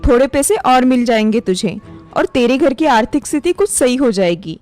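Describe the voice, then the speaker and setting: A woman's voice: high voice, expressive, normal speed, a little mellow, faint music behind. A woman voicing a scornful bossy employer. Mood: scolding. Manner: scolding and sarcastic.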